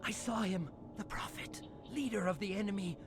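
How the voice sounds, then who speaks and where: A man's voice: high-pitched, normal speed, music in the background, close to an everyday voice. A man voicing an unhinged male scientist who prophesies doom in feverish, ominous, half-whispered pronouncements.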